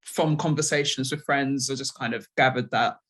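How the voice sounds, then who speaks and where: A woman's voice: extremely low pitch, steady tone, moderate pace, a bit bright, breathy edge. Hesitant woman thinking aloud in an interview. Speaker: comic writer, interviewee. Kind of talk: casual interview answers.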